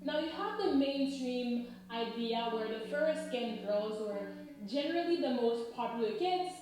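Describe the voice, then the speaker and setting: A woman in her thirties from Haiti, explaining in a measured, lecturing way and often checking in with "right?". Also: fairly high pitch, lively intonation, music in the background. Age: 30s. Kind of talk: explanatory talk. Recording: very echoey room.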